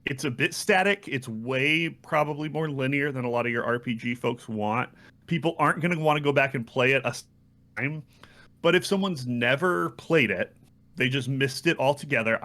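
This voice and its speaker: A man co-hosting a podcast, delivering a game verdict in short, measured clauses in a conversational style. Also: medium pitch, fairly bright tone. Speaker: podcast co-host. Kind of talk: casual video game review discussion.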